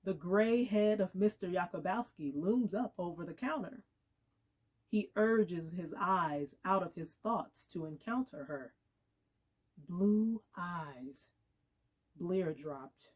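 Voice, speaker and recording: A woman giving a dramatic literary reading, measured and expressive, with deliberate pauses. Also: lowish voice. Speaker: actor reading aloud.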